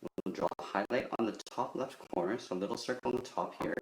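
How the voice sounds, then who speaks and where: Low-voiced man teaching in an echoey room. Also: fast talker. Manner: simple, methodical instructions. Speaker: drawing instructor. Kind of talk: step-by-step drawing tutorial. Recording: roomy echo with faint background noise.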